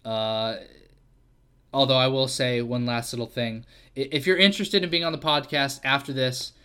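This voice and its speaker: A man's voice: medium pitch, normal speed, clear, resonant tone. A man hosting a casual solo podcast, rambling and self-deprecating, with plenty of fillers and swearing. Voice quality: fairly monotone delivery. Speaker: podcast host.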